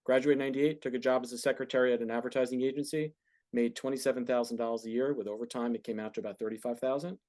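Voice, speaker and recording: A man's voice: medium pitch, fairly even intonation, racing, a little mellow. A man in his forties answering questions informally as a guest speaker in front of a class. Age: 40s. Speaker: guest speaker, entertainment business founder.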